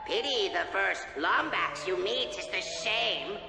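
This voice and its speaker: Mocking robot supervillain, swooping pitch, drawn-out sneers, music behind. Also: male voice, expressive, creaky, pushed and bright, echoey room, big-room sound, heavily acted. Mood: gloating. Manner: mocking, drawn-out theatrical gloating. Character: gloating robot supervillain, theatrical, murderous. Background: game score music.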